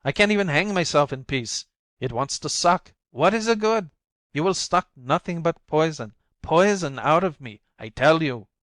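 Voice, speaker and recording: Frantic mother at breaking point, put on by a male reader, high and shrill, dry booth. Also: expressive, moderate pace, a bit bright, no echo. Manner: frantic, screaming, bitter. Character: desperate, worn-out young mother at breaking point. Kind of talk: audiobook reading.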